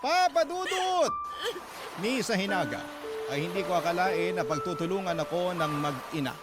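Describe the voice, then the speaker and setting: A man's voice: sits high, very animated, moderate pace, over loud music. A man voicing a hurt letter writer, narrating a first-person story of a troubled relationship with a Filipino accent for a radio drama.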